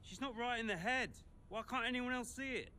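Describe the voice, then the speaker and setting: A man's voice: medium pitch, lively intonation, moderate pace, a bit bright, slight background noise, natural voice. A man playing a bitter, domineering young racing team boss in a cinematic game, sarcastic and prone to needling repetition. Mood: agitated, bitterly sarcastic. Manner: sarcastic, needling, repeats himself. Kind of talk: naturalistic cinematic game acting.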